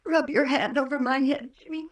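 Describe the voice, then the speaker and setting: A woman's voice: sits high, steady tone, brisk, lightly acted. A woman playing a fragile, distressed young sweetheart, weary and clinging, in an old-time radio horror drama. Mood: weary, faint. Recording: roomy, distant old radio recording.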